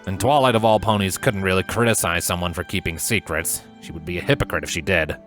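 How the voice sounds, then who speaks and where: A man narrating a scripted story in a measured, dramatic storytelling manner. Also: deep voice, steady tone, fairly bright tone. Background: music.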